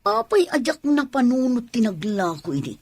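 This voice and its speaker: A woman acts out a determined wife in a radio drama. Her voice is fairly high, and she talks in a practical, affectionate way. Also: sing-song, normal speed, darkish tone, husky, no echo. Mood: affectionate, pleased. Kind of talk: Ilocano radio drama acting.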